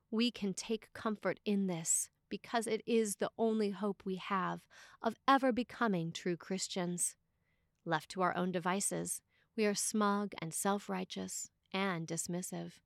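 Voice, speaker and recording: A woman delivers a scripted sermon as a priest would from a prepared text. Her pitch sits in the middle and she speaks deliberately, pausing for emphasis. The recording is dry, with hardly any room sound. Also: no echo.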